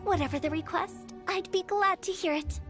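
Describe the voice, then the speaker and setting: Quirky teen girl undertaker, very high pitch, playful, morbid, theatrical, video game, music behind. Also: moderate pace. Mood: breezy. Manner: theatrical, glib sales patter. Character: quirky, playful teenage girl funeral parlor director, breezily morbid. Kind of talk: animated video game voice acting.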